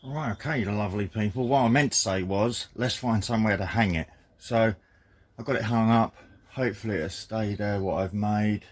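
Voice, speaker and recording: A man with a croaky voice casually narrating a car repair job, chatty and self-deprecating, calling his viewers lovely people. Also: on the low side, moderate pace. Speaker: DIY car-repair vlogger.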